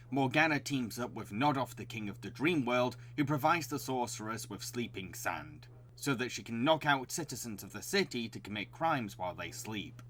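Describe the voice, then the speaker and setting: A man's voice: medium pitch, fairly bright tone, slight background noise. A man hosting a video review in scripted narration, with an enthusiastic, recommending delivery.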